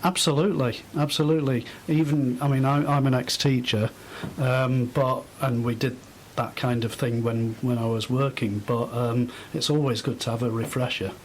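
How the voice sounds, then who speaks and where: A man's voice: mid-range voice, steady tone, normal speed, hardly any pauses, slight background noise, poor audio. Older man in conversation. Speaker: charity volunteer, former teacher. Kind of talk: conversational interview answers.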